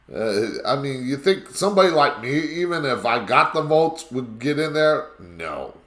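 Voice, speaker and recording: A man with a raspy voice hosting a live call-in talk show, talking in a rambling, emphatic way. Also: medium pitch, sing-song, unhurried, a bit bright, roomy sound.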